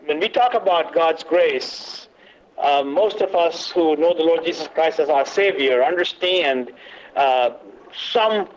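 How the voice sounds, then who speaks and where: A man leading a church workshop talk, loud but not booming, halting with many fillers and quizzing the audience. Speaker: workshop teacher. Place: meeting room, away from the podium. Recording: dull lo-fi recording.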